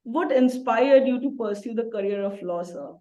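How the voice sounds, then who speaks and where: A female interview host with a slightly high voice reads a formal introduction. She stays polite and deferential, addressing her guest as sir. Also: normal speed, mellow, low-quality recording. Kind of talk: scripted introduction and interview questions.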